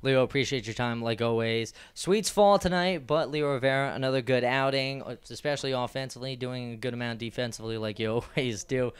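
A man's voice: medium pitch, fairly quick, hardly any pauses, smooth. A young man with a handheld mic, hosting a postgame sports interview with long, rambling, upbeat questions. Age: young adult. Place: small indoor press backdrop.

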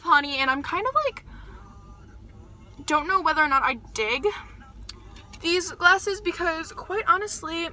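A young woman rambling to a dashboard camera while sitting and driving in her car, chatty and exasperated, getting loud and then going soft. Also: sits high. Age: young adult. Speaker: vlogger. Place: inside a parked and moving car, daytime. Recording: car with road noise.

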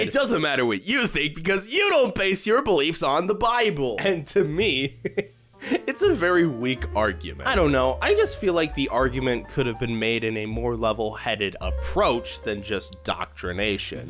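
A man's voice: fairly high pitch, big pitch swings, unhurried, fairly bright tone, faint music behind. A man in his twenties giving a wry, conversational and emphatic review into a studio mic. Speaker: video reviewer. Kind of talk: scripted casual review to camera. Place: small home studio room. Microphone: boom-arm studio mic close by.

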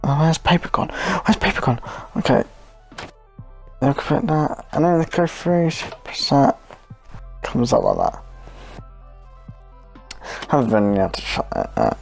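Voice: mid-range voice, sing-song, a little slow, vocal fry, pauses often, soft background music. A gaming host giving casual, rambling commentary while playing, often murmuring short repeated phrases.

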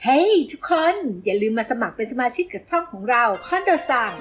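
Lady doing a little boy goat, cartoon, worried, high voice over music. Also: sing-song, brisk. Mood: urging. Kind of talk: children's cartoon dub and promotion.

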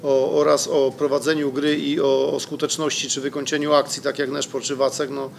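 A man's voice: medium pitch, level tone, a little fast, gravelly, slight background noise. A middle-aged man, a football coach, answering reporters' questions at a press conference in a hesitant way, with many fillers and restarts, into a desk microphone. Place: press room in front of a sponsor wall.

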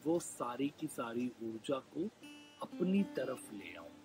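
A man with an Indian accent leads a live guided meditation in a gentle, soothing manner, leaving long pauses and repeating phrases. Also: mid-range voice, sing-song. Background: background music.

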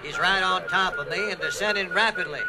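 An actor playing an awed, professional airline pilot in an audio drama. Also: male voice, sits high, fairly quick, background noise. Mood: tense awe.

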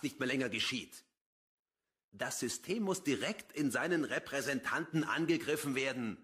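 An actor playing a hardline, contemptuous militant of about forty in a radio play, scornful and agitating. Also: male voice, a little high, a bit bright. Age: 40s. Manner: scornful, agitating, slogan-heavy.

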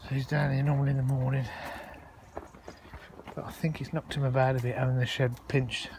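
A man's voice: mid-range voice, level tone, a little fast, creaky. A man chatting informally and rambling as he narrates a casual walk-around vlog on a handheld phone outdoors. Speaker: allotment vlogger. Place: outdoors on an allotment, walking. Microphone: handheld phone held while filming. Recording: noisy outdoor phone recording.

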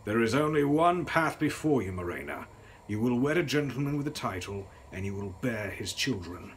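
A man voicing a sharp, commanding, threatening Victorian father in a gothic story reading. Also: medium pitch, expressive, normal speed, a little hiss or hum, lightly acted. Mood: stern threat.

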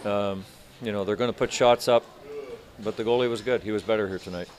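Low-voiced older male hockey coach. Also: steady tone. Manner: measured, halting, many restarts. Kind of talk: postgame press interview. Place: arena media room in front of sponsor backdrop.

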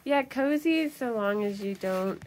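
A woman's voice: a little high, animated, a little slow, a little mellow. An American woman in her thirties chatting casually for an outdoor vlog, in a giggly, upbeat manner. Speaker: vlogger. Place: log hut and snowy woods. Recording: noisy camera audio with ambient sounds.

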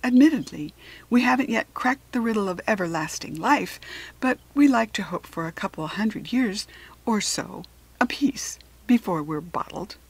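Woman reading as husky, formal alien hare. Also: mid-range voice, expressive, normal speed, low-quality recording. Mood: lofty, matter-of-fact. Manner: articulate, formal, self-assured. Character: talking alien hare, polite, chatty, slightly superior visitor. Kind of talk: children's storybook character reading.

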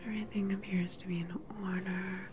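A woman playing a calm, clinical evil scientist in a soft, breathy ASMR whisper, close to the mic, with measured, soothing delivery. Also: mid-range voice, close to an everyday voice. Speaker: ASMR roleplay performer. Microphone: close-up microphone. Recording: close whisper over humming noise. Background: sci-fi lab ambience.

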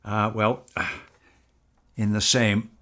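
An actor playing an evasive, placating man with a secret in an audio drama, speaking hesitantly and deliberately. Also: fairly low pitch, little pitch movement, a bit bright. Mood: firm, agitated. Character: evasive, soothing husband hiding an uncanny secret.

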